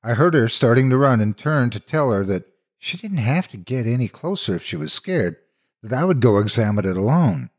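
A man narrating a scripted horror story in a dramatic, measured, suspenseful manner. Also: mid-range voice, big pitch swings.

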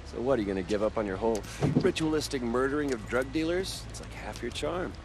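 A man's voice: medium pitch, animated, quick pace, very noisy, lightly acted. A young man with an American accent acting a cocky, sarcastic, wisecracking superpowered rebel in a video game. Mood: teasing. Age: young adult. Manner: flippant, sarcastic.

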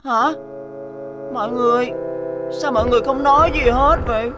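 A woman voicing an earnest, excitable, easily panicked little boy bus in a children's cartoon dub, with a childlike, pleading delivery. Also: fairly high pitch, a little fast, over music, natural voice. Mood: anxious. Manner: exclamatory, pleading.

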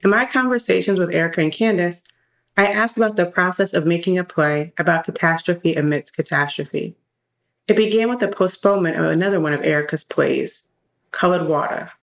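A woman with a slightly low voice narrates as the host of an audio series. Her delivery is scripted and presentational, introducing guests and segments. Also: a little fast, creaky.

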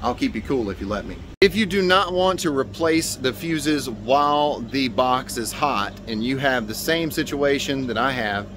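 American guy in his 30s doing a casual upbeat tradesman how-to, normal pitch, bit of noise behind him. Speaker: HVAC technician and video host. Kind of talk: informal instructional vlog to camera. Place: outdoors by a building and in utility spaces. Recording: clear background noise, outdoors and utility room.

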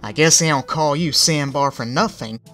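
A man's voice: a little high, moderate pace, a bit bright, faint music behind, close to an everyday voice. A man voicing a cocky, sly young male griffin with a competitive edge.

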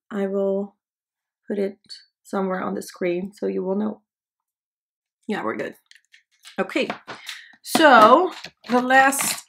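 A young Dutch woman talks through her makeup routine in a loose, self-mocking way, her voice often slipping into a gravelly fry. She sits close to the camera in a small indoor studio. She is speaking English, which is not her first language. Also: medium pitch, a bit bright. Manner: chatty, self-deprecating, talks while applying makeup. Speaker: beauty vlogger. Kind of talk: casual makeup tutorial chat.

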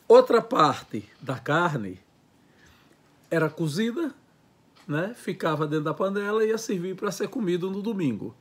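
Animated older man recalling childhood. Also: high-pitched, breathy edge. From Brazil. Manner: lively, gestures with hands, listing words in quick runs. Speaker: educator. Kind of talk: reminiscing lecture to camera. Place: home study with bookshelves. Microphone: earphone mic, webcam framing.

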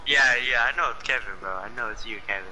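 A man's voice: medium pitch, fast talker. A young man joining a gaming voice chat, joking and teasing the others. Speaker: gaming teammate. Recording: very noisy distant voice chat.

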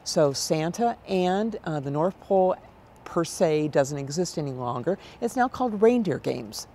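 Gravelly older American woman in interview. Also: sits low, animated, unhurried, dark tone. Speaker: event director, interview guest. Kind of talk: conversational interview answers. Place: large exhibition hall, indoors. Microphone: lapel mic. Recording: background noise of an event hall.

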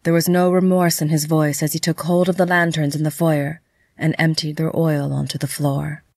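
A woman's voice: low-pitched, little pitch movement, normal speed, vocal fry, darkish tone, dry recording, studio quality. A woman reading scripted narration for an audio drama.

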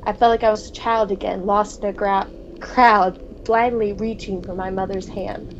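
A woman co-hosting a gaming video, reading visual novel text aloud in a playful, expressive way with casual asides. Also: mid-range voice, normal speed. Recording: dull, noisy home recording.

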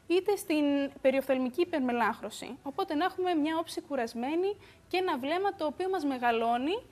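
A young woman, a beauty expert, explaining calmly in a TV studio on a lapel mic, with the odd "uh" filler. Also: sits high. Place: bright TV studio.

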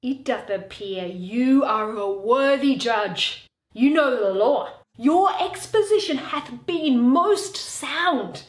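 A woman playing a bitter, stubborn, vengeful old male moneylender in an emphatic, declamatory Shakespeare reading. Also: fairly high pitch. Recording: echoey bare room.

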